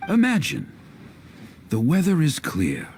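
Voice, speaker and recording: An actor playing a theatrical teahouse storyteller in a video game, declaiming a grand tale with drawn-out pauses. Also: male voice, a little high, wildly swooping pitch, a little mellow, a little hiss or hum, full character voice. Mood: grand, dramatic. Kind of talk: dramatic oral storytelling. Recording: background music under the voice.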